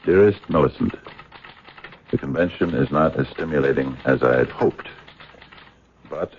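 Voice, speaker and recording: An actor playing an earnest, courteous American physicist in a radio mystery, speaking in a hesitant, wordy, stammering way. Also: male voice, extremely low pitch, normal speed, slight background noise. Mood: musing. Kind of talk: radio drama acting. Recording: dull, muffled radio drama recording.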